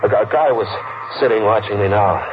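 A man's voice: fairly low pitch, fairly quick, noisy background, close to an everyday voice. A man playing a hunted, worn-out city fellow in hard-boiled 1940s radio drama, talking in jittery, urgent, clipped fragments. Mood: haunted. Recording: muffled 1940s radio broadcast, loud music behind.

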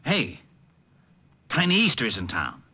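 An actor playing a helpful, slightly exasperated police lieutenant in a 1950s radio drama, talking colloquially. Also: male voice, medium pitch, unhurried, slightly rough, heavily acted. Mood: businesslike. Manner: colloquial, animated cop talk. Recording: dull old radio recording.

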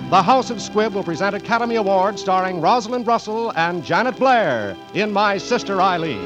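Animated American man, vintage radio announcer. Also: high voice, rough recording. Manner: formal, polished, emphatic. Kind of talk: 1940s scripted radio announcing and sponsor message. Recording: vintage 1940s radio broadcast, music behind.

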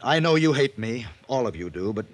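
A man's voice: medium pitch, animated, fairly quick, natural voice. Man playing a pompous, self-pitying comedy star. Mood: pleading, rattled. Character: vain, self-pitying male comedy star, demanding and cowardly. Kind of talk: 1950s radio drama acting. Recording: 1950s radio drama recording.

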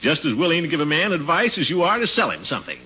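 Blustering radio-comedy uncle, wildly swooping voice. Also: male voice, a little high, wildly swooping pitch, fast talker, slightly rough, exaggerated voice. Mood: confiding. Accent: American. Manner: pompous blustering, stammers and chuckles when flustered. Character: blustering, flustered middle-aged uncle, fond but fussy. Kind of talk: 1940s radio comedy acting. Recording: muffled, noisy 1940s radio recording.

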